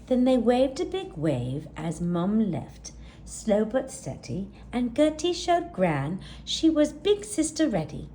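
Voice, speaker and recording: A woman narrates a children's story at an unhurried pace. Her voice is fairly high and mellow, with expressive rises and falls suited to storytime. There is faint noise behind her. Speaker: storytime reader. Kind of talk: expressive children's read-aloud, scripted narration.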